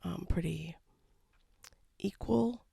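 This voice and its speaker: An artist talking casually over a painting time-lapse, with a froggy voice from a cold and a low-key, rambling manner. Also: extremely slow, creaky, warm tone, husky, dry recording, clean studio sound. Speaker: watercolor artist. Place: home studio.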